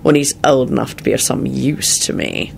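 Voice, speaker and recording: Sneering male wizard villain, performed by a male narrator: aristocratic, bored, sarcastic drawl, creaky edge, hissy recording. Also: medium pitch, sing-song, normal speed. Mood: bored sarcasm.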